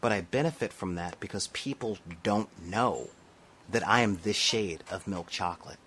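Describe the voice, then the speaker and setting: A man's voice: fairly low pitch, moderate pace, a bit bright, a little hiss or hum. A man from the United States with a nasal voice, delivering an impassioned monologue to camera, emphatic and full of rhetorical asides. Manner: emphatic, rhetorical asides, frequent "all right?". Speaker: vlogger.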